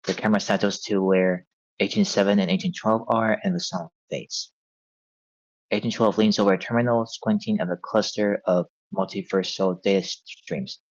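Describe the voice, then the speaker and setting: A man's voice: on the low side, no echo, muffled recording. A man narrating a script's stage directions at a table read.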